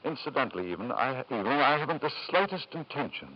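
Actor playing a controlling husband, muffled old radio. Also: male voice, medium pitch, big pitch swings, fast talker, a little hiss or hum, close to an everyday voice. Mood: cool, needling. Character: ruthless, possessive rich husband and doting father. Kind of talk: 1950s radio drama acting. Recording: dull, lo-fi 1950s radio recording.

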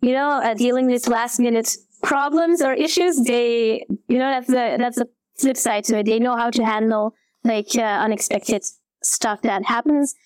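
A woman's voice: a little high, steady tone, moderate pace, a little mellow, clean tone, no room sound, rough recording. A woman in her thirties from Belgium, a guest actor in a casual interview, speaking hesitantly with many fillers and restarts.

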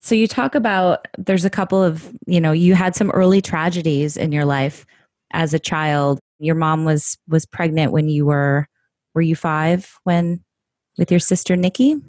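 Podcast host, female, low register, gushing and upbeat, dry close sound. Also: steady tone, measured pace, darkish tone, no room sound. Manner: effusive, enthusiastic. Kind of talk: podcast interview.

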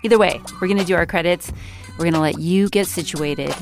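A woman's voice: lowish voice, a little fast, over music. An American woman hosting a podcast in a casual, conversational way. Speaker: podcast host.

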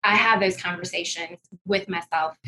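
Bright-voiced woman telling stories, noisy background. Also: fairly low pitch, steady tone, normal speed. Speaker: podcast guest, financial services executive. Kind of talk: conversational interview storytelling.

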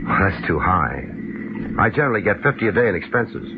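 A tinny, hissing vintage radio recording of an unflappable American private eye. His low voice moves freely up and down as he delivers dry, casual wisecracks in the manner of fifties radio detective drama. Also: very animated, fairly quick. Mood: cool, businesslike. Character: wry, laid-back male private investigator, unflappable wisecracker.

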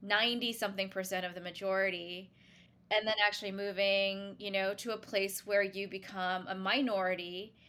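A woman from Singapore talks as a podcast guest. Her voice is bright and forward, and her delivery is relaxed and reflective. She fills her pauses with "um" and "you know". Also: mid-range voice. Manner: relaxed, reflective, many fillers. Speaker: guest, brand founder. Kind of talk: casual podcast interview.

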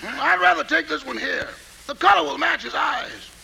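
A wisecracking valet in a vintage radio comedy. His voice is piercingly bright and full of gravel, with dry, haggling delivery. The old recording carries faint noise. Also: very high voice, moderate pace, heavily acted, rough recording. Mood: dryly joking. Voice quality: gravelly, raspy. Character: wisecracking male valet out Christmas shopping for his stingy boss. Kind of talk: 1940s-50s radio comedy acting.

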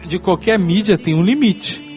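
A man with a Brazilian accent hosting a casual podcast, talking excitably in slangy, sweary speech. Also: sits high, moderate pace, faint music behind. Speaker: podcast host.

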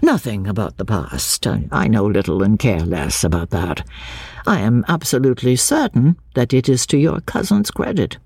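Casting: woman narrator as puzzled, deliberate clergyman for classic audiobook, halting delivery, husky edge, recorded close and dry. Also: very deep voice, sing-song, a little mellow, dry recording, full character voice. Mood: earnest, hesitant. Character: earnest, puzzled gentleman clergyman, courteous and tentative.

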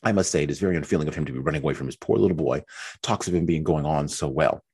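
A man's voice: a bass voice, racing, darkish tone, slightly rough, full character voice. A man voicing a fretful, self-pitying young mother who whines and complains in a raised voice. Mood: whining. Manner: complaining, whiny and petulant.

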